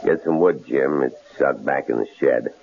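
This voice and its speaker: A gravelly, deep, sneering radio-drama villain. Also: male voice, normal speed. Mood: mocking. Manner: taunting, sneering. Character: mocking, menacing male captor, bossy and cynical. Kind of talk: 1970s radio drama acting. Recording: muffled, noisy old radio broadcast.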